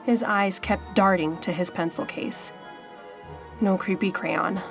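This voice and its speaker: A woman narrating a children's storybook aloud in a dramatic, suspenseful way with deliberate pauses. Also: medium pitch, steady tone, creaky. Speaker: storybook reader. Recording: faint background music. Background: faint music.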